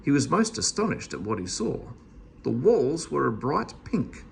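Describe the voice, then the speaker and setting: A man's voice: mid-range voice, very animated, a little slow, creaky, a little hiss or hum. A man reading a children's story aloud as narrator. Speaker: storybook reader.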